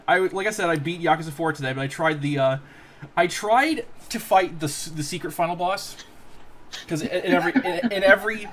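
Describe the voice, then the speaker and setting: A man co-hosting a casual podcast chat, his voice a little hoarse, joking and laughing often. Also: high-pitched, normal speed, fairly bright tone, slight background noise. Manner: laughs often, jokey asides. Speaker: podcast co-host.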